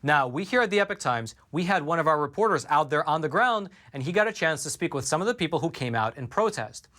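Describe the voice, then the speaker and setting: A man presenting the news from a studio in a measured, scripted delivery. His voice sits a bit higher than most men's. He reads quotes aloud between his own commentary. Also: lively intonation, sharp tone. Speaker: news show host.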